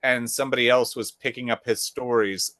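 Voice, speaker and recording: A man with a mid-pitched voice talks in a loose, easygoing way, often repeating himself as he finds his words. The recording is dry and close. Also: brisk, dry recording. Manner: relaxed, with fillers and trailing repeats. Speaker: podcast co-host. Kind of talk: casual chat.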